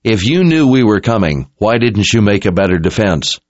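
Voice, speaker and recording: A man's voice: on the low side, big pitch swings, moderate pace, no room sound, voice pushed up. A man as a terse, demanding warrior leader. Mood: stern, demanding. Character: determined, urgent male warrior leader questioning an enemy. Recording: muffled, dull-sounding recording.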